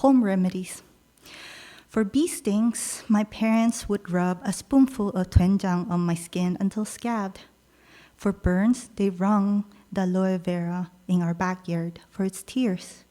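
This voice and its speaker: A woman poet, native Korean speaker raised in Peru, reading her own poems aloud in a measured, pausing delivery. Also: mid-range voice. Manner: slow, measured delivery with soft pauses between lines. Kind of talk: poetry reading.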